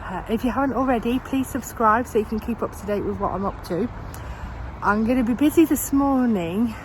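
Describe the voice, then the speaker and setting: A woman's voice: on the high side, fairly quick, a little hiss or hum, low-quality recording. A woman in her thirties with a cold, chatting casually on her allotment as she plans her gardening jobs, with lots of ums and restarts. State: has a cold. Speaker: gardening vlogger. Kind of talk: casual vlog chat. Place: outdoors on an allotment.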